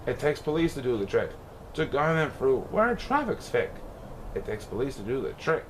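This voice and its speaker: Animated man reading a rhyming storybook. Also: medium pitch, normal speed, a little hiss or hum. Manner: theatrical, expressive delivery. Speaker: storybook reader. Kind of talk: animated read-aloud of a rhyming children's storybook.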